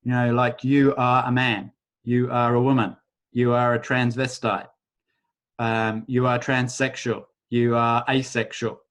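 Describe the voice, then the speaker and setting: A man leading a live online workshop over a video call, talking in a casual, rambling way and softly chanting a repeated phrase. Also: medium pitch, level tone, measured pace. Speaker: workshop facilitator.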